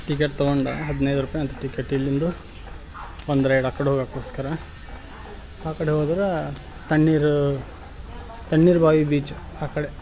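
A man's voice: a little high, level tone, a little fast, long pauses, highs cut off. A man, vlogging a trip by camera at arm's length, chatting wryly to viewers. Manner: chatty, wry and grumbling, addresses viewers directly. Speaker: travel vlogger. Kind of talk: walking travel vlog, talking to camera. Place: outdoors on busy city streets, bus stand and riverside. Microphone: handheld action camera at arm's length. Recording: loud street traffic noise behind.

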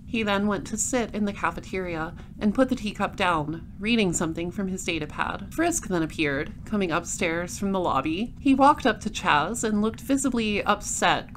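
A woman playing a patient, composed detective, narrating an audio drama in a measured, wry way. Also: mid-range voice, fairly bright tone, slight background noise, lightly acted, poor audio. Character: composed, patient female space-patrol detective narrating her case.

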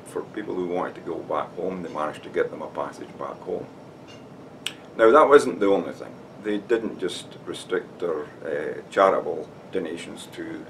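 A man's voice: low-pitched, gravelly, distant mic, a little hiss or hum, rough recording. An older man from Scotland with a Scottish accent gives an informal talk to camera, explaining steadily and often starting with "now" or "uh". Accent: Scottish, Dumfries. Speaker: society member giving a talk. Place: room with shelves and flags behind.

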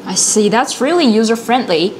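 A woman's voice: a little high, expressive, moderate pace, a little mellow, slight background noise. A woman in her thirties co-presenting in a scripted product dialogue, responsive and inquisitive. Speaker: co-presenter asking questions.

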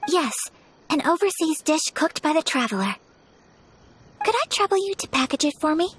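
An actress playing a shy, courteous young noblewoman in a video game, soft-spoken and formal. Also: high-pitched, sing-song, normal speed, a little hiss or hum. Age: young adult. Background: game music.

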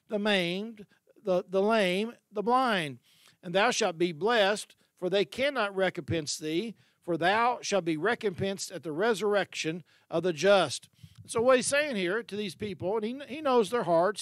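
High-voiced older pastor preaching. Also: male voice, dry recording, clean studio sound. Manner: earnest preaching with fillers and repeated words. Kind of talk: sermon. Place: large unfinished hall indoors. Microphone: handheld mic.

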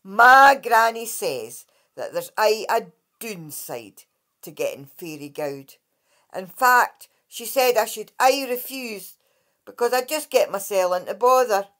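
A woman's voice: fairly high pitch, expressive, slow, fairly bright tone. A woman voicing a bored, blunt girl of nearly thirteen with a Scottish accent. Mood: curious. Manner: blunt, curious.

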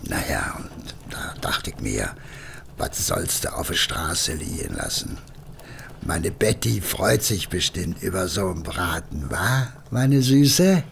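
Creaky, animated Berlin working bloke. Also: male voice, low-pitched, takes it slowly, a little hiss or hum, heavily acted. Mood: defensive. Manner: colloquial, wheedling, hesitant storytelling. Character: cheerful working-class man, tipsy, good-natured, boastful husband. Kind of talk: German audio drama acting.

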